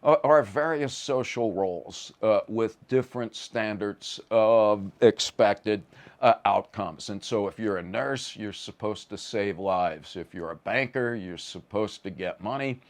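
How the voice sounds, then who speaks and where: A man's voice: on the low side, unhurried. A man giving a conversational lecture in a wry, vivid, emphatic manner, often stammering on the starts of words.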